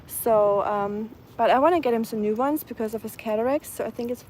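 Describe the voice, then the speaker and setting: Woman, German accent, fairly high voice, soft casual chat, faint outdoor ambience. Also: a little fast, a little hiss or hum, poor audio. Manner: soft-spoken, chatty, many ums, laughs lightly. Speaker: vlogger. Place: outdoors in the evening, nature sounds around.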